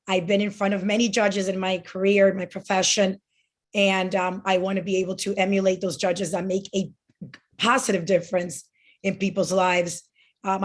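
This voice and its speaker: A woman in her forties from Miami, giving an earnest campaign speech as a judicial candidate, with frequent ums and restarts. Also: medium pitch.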